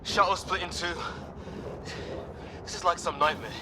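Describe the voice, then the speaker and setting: A man's voice: high voice, normal speed, background noise, natural voice. An actor playing a wry, tense young male expedition crewmate in a video game cutscene, speaking informally. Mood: shaken, wry.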